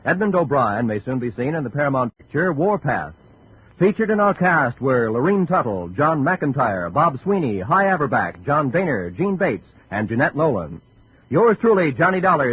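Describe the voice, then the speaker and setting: Male radio announcer, American, lively intonation, fairly high voice, formal 1950s delivery, muffled, music behind. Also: moderate pace, husky. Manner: formal, polished. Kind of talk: 1950s network radio announcing, scripted credits.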